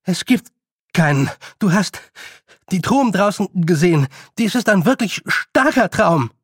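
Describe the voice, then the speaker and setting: A man's voice: high voice, moderate pace, breathy edge, dry recording, clean studio sound, voice pushed up. A man voicing a frightened, stammering boy in a storybook reading, urgent and short of breath. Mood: breathless, urgent. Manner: panting, anxious, pleading.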